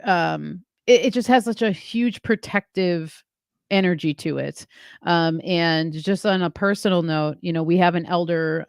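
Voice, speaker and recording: Chatty woman podcast host, lowish voice. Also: no echo, clean studio sound. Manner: rambling, many ums and likes. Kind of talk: casual spiritual podcast chat.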